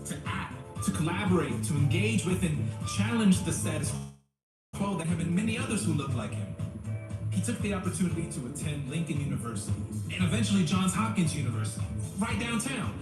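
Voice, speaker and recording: A man playing an earnest, excitable young student and performance artist in an audio drama. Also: on the high side, fairly quick. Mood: impassioned. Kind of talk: audio drama acting, speech-making and dialogue. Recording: roomy, distant playback with music behind.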